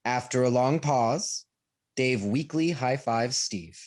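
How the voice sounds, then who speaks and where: A man's voice: mid-range voice, slow, a little mellow. A man narrates stage directions in a matter-of-fact way. He reads from a script over a slightly noisy video call. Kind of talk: scripted narration at a table read.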